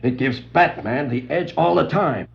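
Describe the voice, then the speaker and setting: An actor playing a gruff, slow-witted henchman with slangy street-tough talk, in a 1960s superhero audio drama. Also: mid-range voice, lively intonation. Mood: curious, businesslike. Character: gruff, dim adult male gangster henchman. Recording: dull vintage vinyl record.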